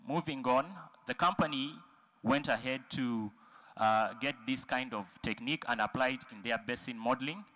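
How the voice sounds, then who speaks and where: Man, bright tone, conference talk, halting delivery, many fillers. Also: mid-range voice. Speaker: conference presenter. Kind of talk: technical presentation. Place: large conference hall.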